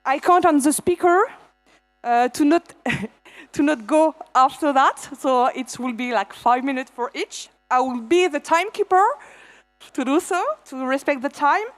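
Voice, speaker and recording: A woman moderating a conference panel, talking in a halting way with fillers and restarts. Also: high voice.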